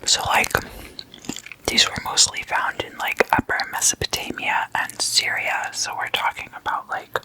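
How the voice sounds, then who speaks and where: An ASMR host speaking in a breathy whisper, explaining things casually and haltingly in short phrases. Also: rough recording.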